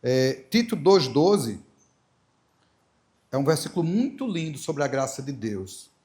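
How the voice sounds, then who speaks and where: A man's voice: a little high, very animated, unhurried, mellow. A middle-aged man from Paraíba, Brazil, with a Paraíba accent, preaching to a congregation, storytelling with playful asides and emphatic repetition. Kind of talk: church talk with Bible reading.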